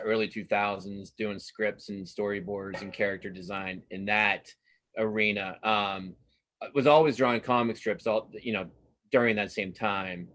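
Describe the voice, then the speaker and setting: A man's voice: on the low side, pushed and bright. A man chatting casually as an interview guest, informal and self-deprecating. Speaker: guest cartoonist.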